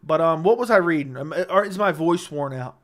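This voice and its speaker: A man with a slightly high, somewhat raspy and worn voice hosts a casual livestream. He reads viewers' questions aloud and answers them offhand, joking along the way. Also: expressive, a little fast, a bit bright. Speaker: livestream host reading chat.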